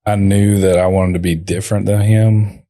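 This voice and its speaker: Quiet low-voiced young man, halting speech. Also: little pitch movement, no echo, clean studio sound. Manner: quiet, soft-spoken, halting with fillers. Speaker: company owner, guest. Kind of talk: podcast interview.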